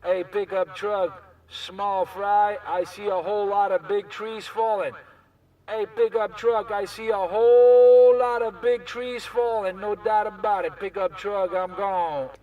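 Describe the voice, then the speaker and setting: Casting: high-voiced male CB operator, showy and repetitive banter, sounding distant from the mic. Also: steady tone, unhurried, muffled recording. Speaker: CB radio operator. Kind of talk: CB radio banter in repeated chanted catchphrases. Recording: CB radio chatter, off the mic.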